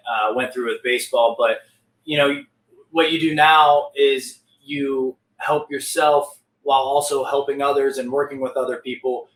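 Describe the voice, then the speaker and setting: A man's voice: medium pitch, fairly bright tone. A young man hosting a podcast, chatting informally and casually with his guest. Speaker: podcast host. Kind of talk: casual interview chat. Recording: roomy, distant from microphone.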